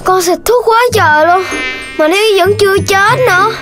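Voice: very high voice, moderate pace. An actor voicing a naive, mischievous little child in a children's cartoon, with a Southern Vietnamese accent and an exclamatory, playful delivery. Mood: eager. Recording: music behind the voice.

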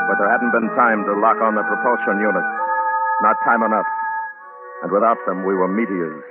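A man's voice: fairly low pitch, close to an everyday voice. An actor playing a sober, weary spaceship crewman in 1950s radio drama style. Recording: tinny 1950s radio, loud music.

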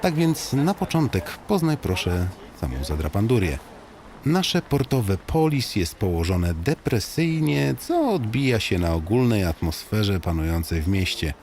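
Male comic audiobook narrator, lowish register, highly varied intonation, mock-solemn guide addressing the listener. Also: unhurried, a little hiss or hum. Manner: tongue-in-cheek mock-solemn storytelling with animated swings.

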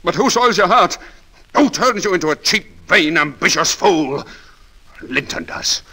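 Brooding, intense, bright-voiced young stable boy. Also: a little high, sing-song, measured pace, breathy edge, full character voice. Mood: bitter, furious. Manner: intense, theatrical. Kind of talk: 1940s radio drama acting.

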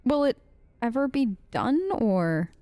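A woman's voice: fairly high pitch, expressive, takes it slowly, warm tone, no room sound. Wry woman gamer, dry close sound. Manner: wry, hesitant, talks in character. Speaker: let's play host. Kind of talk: casual role-play game commentary.

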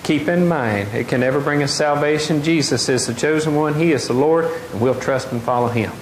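A middle-aged man preaching a lively sermon, often asking the congregation questions. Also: a little high, a little fast. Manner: animated, gestures widely, asks the congregation questions. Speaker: pastor. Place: large wooden open-air church hall. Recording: echoey hall, faint noise.

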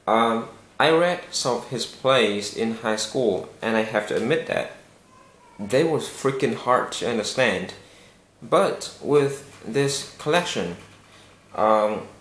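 A young man, Vietnamese his first language, speaks straight to camera at an unhurried pace with small hesitations. His voice sits in a middle pitch. The small bare room gives the sound a clear echo. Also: fairly bright tone. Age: young adult. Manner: slow, careful and earnest, with some hesitations. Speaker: vlogger. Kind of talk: casual vlog to camera. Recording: echoey room.

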